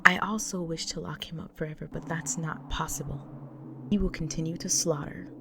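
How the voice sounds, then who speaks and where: A woman playing a grave, devoted vampire wife in an intimate audio roleplay, speaking solemnly to the listener in an often breathy, whispered delivery. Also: low voice, steady tone, fairly quick, noisy background. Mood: pleading, earnest.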